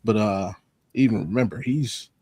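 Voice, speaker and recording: A man's voice: on the low side, normal speed, mellow, breathy edge, no room sound. A man on a sports talk panel, casually reasoning through his opinions off the cuff in an analytical way.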